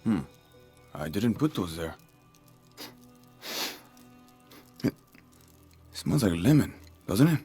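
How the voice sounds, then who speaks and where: A genial older village craftsman, with a low-pitched voice. He talks in an easygoing, lightly teasing way, proud of his work, as in a video game cutscene. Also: big pitch swings, measured pace, mellow, breathy edge, faint music behind, exaggerated voice. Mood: curious. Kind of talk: cinematic video game acting.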